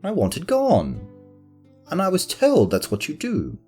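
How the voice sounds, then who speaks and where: A man's voice: a little high, huge pitch swings, measured pace, darkish tone, faint music behind. A man playing a spoiled haughty nobleman. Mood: petulant. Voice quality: haughty, self-pitying. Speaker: voice actor. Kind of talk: fan audio drama acting.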